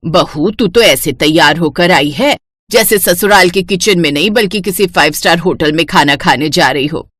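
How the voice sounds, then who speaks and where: A woman voicing a bossy, sharp-tongued middle-aged mother-in-law who scolds and demands, in a cartoon story. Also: lowish voice, moderate pace, dry recording, voice pushed down. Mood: disapproving.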